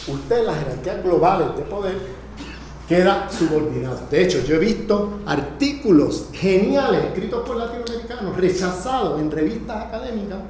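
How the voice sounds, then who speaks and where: A man lectures to a class in a high-pitched voice. His delivery is emphatic and didactic, improvised, with frequent short check-in questions. The room is strongly echoey, with faint noise behind him. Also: darkish tone.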